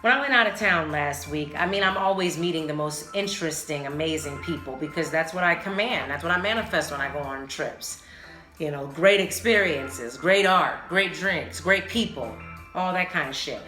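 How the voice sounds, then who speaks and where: Female podcast host, lowish voice, casual and emphatic, slangy and sweary, music behind her. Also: moderate pace. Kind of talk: casual solo podcast talk. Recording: roomy echo, music behind the voice.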